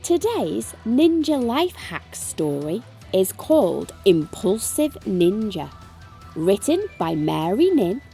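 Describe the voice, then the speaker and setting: A woman reading a children's book aloud as a storytime host, with expressive, deliberate storytelling and drawn-out emphasis. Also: medium pitch.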